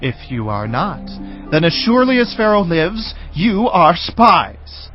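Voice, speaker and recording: An actor playing a harsh, accusing governor of ancient Egypt in a dramatized audio Bible, speaking deliberately. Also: male voice, high-pitched, big pitch swings. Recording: dull audio with music.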